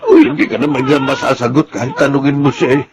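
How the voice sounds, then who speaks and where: An actor playing an excitable, doting Filipino father in a radio drama, with an animated, easily flustered delivery. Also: medium pitch, fast, noisy background.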